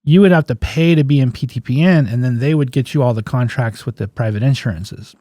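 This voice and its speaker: Male, 50s, interview guest. Mid-pitched, mellow delivery, anecdotal and plain-spoken, casual storyteller. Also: fairly quick, dry recording. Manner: anecdotal, acts out remembered dialogue, folksy plain-spoken phrasing. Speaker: guest, physical therapist and clinic owner.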